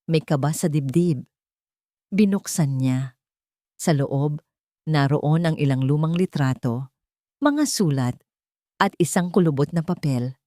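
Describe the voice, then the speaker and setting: A woman's voice: deep voice, measured pace, pauses often, dark tone, dry recording, studio quality. A woman storyteller reading a scripted dramatic story.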